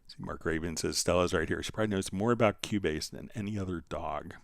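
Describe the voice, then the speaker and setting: A man's voice: low voice, fast talker, vocal fry, no room sound, clean studio sound. A man hosting a live question-and-answer stream, talking casually and explaining things in an informal, rambling way with asides. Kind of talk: casual unscripted Q&A talk, reading out viewer questions.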